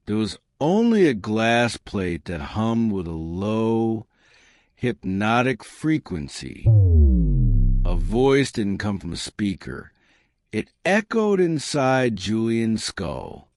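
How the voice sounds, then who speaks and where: A man narrating a scripted supernatural thriller in a measured, dramatic, suspenseful way. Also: lowish voice, no echo, studio quality. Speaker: storyteller narrator.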